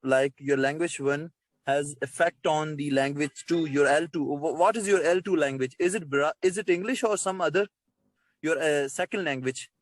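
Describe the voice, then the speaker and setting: A man's voice: on the high side, normal speed, no echo. A man from Pakistan with a Pakistani accent and Urdu as his native language, interviewing informally with hesitant, repetitive, wordy questions.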